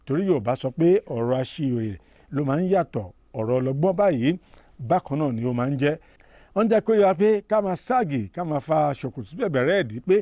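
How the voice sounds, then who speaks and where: Male TV presenter, rapid and warm, dry studio sound, proverb-heavy delivery. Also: medium pitch, no room sound. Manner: proverb-quoting, addresses elders directly. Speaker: TV program host. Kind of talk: broadcast presenting.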